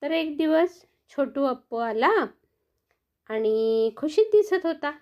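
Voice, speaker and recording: A woman narrates a children's bedtime story at a slow, gentle pace. Her voice sits fairly high and she speaks in a sing-song, coaxing way, turning to her young listeners with questions. The recording sounds a little dull and lo-fi. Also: animated.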